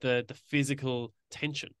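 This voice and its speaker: A man's voice: medium pitch, level tone, normal speed, clear, resonant tone, dry recording, studio quality. A man hosting a podcast, chatting earnestly and telling stories from his own experience. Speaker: podcast host. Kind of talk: casual interview conversation.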